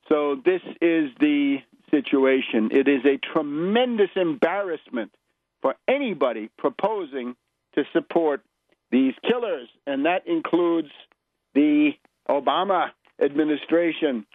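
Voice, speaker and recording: Sardonic male radio commentator, fairly high-pitched. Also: slow pace, no echo, narrow, tinny audio. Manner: sardonic, rhetorical asides. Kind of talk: political news commentary, partly improvised.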